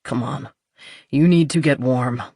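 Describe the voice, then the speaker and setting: A narrator voicing a gruff, dryly amused man, the terse hero of a romance audiobook. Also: mid-range voice, a little slow, darkish tone, dry recording. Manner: dry, terse. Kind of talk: audiobook character dialogue.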